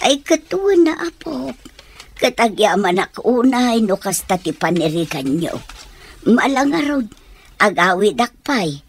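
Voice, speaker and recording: A woman's voice: medium pitch, very animated, measured pace, slight background noise. A woman playing a loving, sorrowful elderly grandmother in an Ilocano radio drama, with an Ilocano accent. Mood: loving, concerned.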